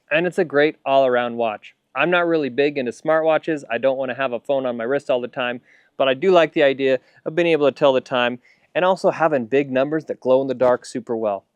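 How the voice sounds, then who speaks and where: An American man, roughly in his thirties or forties, reviewing gear in a plain-spoken, conversational way as a video host. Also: medium pitch, no echo. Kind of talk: casual product review to camera. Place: backyard, outdoors.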